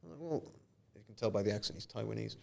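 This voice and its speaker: A man's voice: on the low side, expressive, quick pace, creaky, darkish tone. A man talking as a guest on a car podcast, blunt and swearing freely in casual chat.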